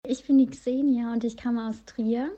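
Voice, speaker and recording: A young woman describes where she comes from in a friendly, descriptive self-introduction. Her voice is fairly high but warm and soft. The recording sounds slightly dull and muffled. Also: fairly even intonation, normal speed. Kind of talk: short self-introduction to camera.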